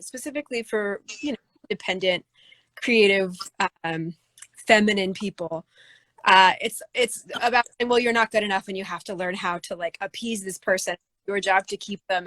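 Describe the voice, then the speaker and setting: Low-voiced woman musing, dry sound. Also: fairly bright tone, no echo, low-quality recording. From United States. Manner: thoughtful, many fillers and restarts. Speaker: singer-songwriter guest. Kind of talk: casual interview answers.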